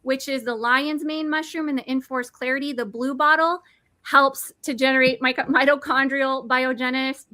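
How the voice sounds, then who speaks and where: Bright-voiced woman in her forties. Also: high voice, steady tone, moderate pace, no echo. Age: 40s. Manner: eager, nerdy, self-correcting. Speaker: product presenter. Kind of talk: enthusiastic explanatory talk.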